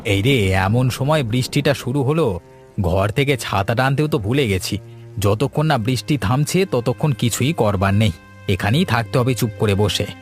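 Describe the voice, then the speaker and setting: A man's voice: medium pitch, lively intonation, normal speed, soft background music, natural voice. A man playing a cautious, uneasy village shopkeeper in a cartoon ghost story, hesitant and wary. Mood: stranded, concerned.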